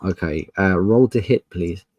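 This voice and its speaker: A man running a tabletop roleplaying game, narrating scenes in a measured, descriptive and polite way. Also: sits low, a little mellow, no room sound, clean studio sound. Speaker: game master.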